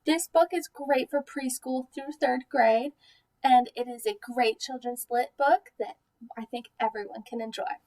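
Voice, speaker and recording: A woman teacher doing a children's read-aloud, talking expressively and deliberately and putting questions to her young listeners. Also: high-pitched, steady tone, darkish tone, far from the mic. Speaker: teacher reading a picture book aloud to children.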